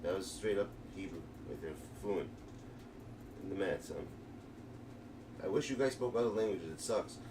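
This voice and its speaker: New streamer, distant and noisy. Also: male voice, sits low, moderate pace, long pauses. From New York. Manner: excited, slangy, rambling. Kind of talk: casual gaming livestream commentary. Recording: distant mic with clear background noise.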